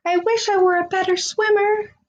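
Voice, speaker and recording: A woman's voice: extremely high pitch, steady tone, moderate pace, dull audio, a little hiss or hum, pitched above the performer's own voice. A woman voicing an earnest, unsure young male narwhal in a children's picture book. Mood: wistful.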